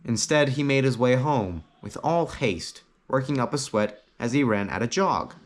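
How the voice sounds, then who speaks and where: A man narrates a scripted fantasy tale in a middle-pitched voice. His intonation swings widely as he reads dramatically, phrase by phrase. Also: expressive, normal speed. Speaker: story narrator.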